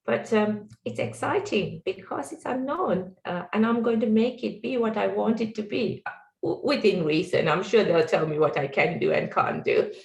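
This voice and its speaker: A woman's voice: mid-range voice, expressive, normal speed, creaky, a little mellow, echoey room. A middle-aged woman with a Sri Lankan accent, speaking as a guest on an online panel in an earnest, reflective way with many fillers. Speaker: guest speaker, tech executive.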